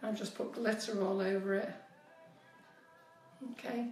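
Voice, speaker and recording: A chatty woman in her fifties demonstrates cake piping in a home kitchen, speaking straight to her viewers. Her voice is a little squeaky from a cold. The sound is echoey and she often drifts away from the phone mic. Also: fairly even intonation, a little slow, a little mellow, recorded from a distance, soft background music. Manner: chatty, instructive, addresses viewers directly. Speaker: cake decorating tutor. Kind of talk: live-streamed baking demonstration.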